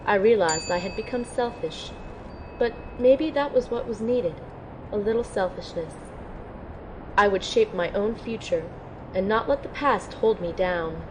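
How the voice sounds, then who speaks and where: A woman's voice: mid-range voice, a little slow, lightly acted. A woman playing a wounded, self-doubting young woman in an audio drama, speaking in an earnest, introspective way. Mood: reflective, resolute. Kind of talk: audio drama dialogue and first-person narration. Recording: noisy ambience behind the voice.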